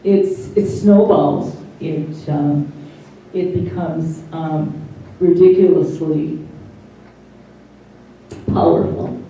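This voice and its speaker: Slow, halting middle-aged woman, very mellow. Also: low-pitched, fairly even intonation. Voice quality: careful over-articulated consonants after voice loss. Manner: slow and halting, many pauses. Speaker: interviewee. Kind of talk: personal testimony. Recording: roomy, distant mic, faint hiss.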